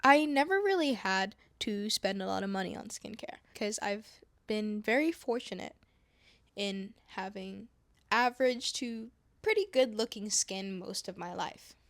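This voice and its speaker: A young woman from Indonesia chatting calmly to camera, soft-spoken with whispery asides and self-mocking laughs. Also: medium pitch, measured pace, no echo. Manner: playful, laughing at herself. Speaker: vlogger. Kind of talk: calm casual chat to camera. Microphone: wireless clip-on mic.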